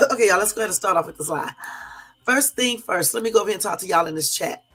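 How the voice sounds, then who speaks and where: A hoarse woman from the US West Coast hosting a live stream, chatting casually with viewers and sprinkling in lots of fillers. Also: mid-range voice, quick pace, a bit bright, soft background music. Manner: chatty, addresses viewers as y'all, many fillers. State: hoarse from a cold. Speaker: livestream host.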